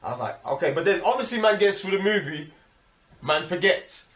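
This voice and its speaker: A man's voice: high voice, lively intonation, a little fast, a bit bright, far from the mic. A man with a London accent chatting casually about a film with friends, slangy and repeating phrases for emphasis. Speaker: film review podcast co-host. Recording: roomy echo, off the microphone.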